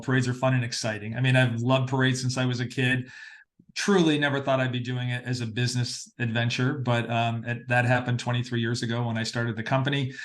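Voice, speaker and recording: A middle-aged American man from Massachusetts telling his story as an interview guest, pausing often as he finds his phrasing. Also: mid-range voice, fairly bright tone. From Massachusetts, northeastern United States. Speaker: interview guest, parade producer.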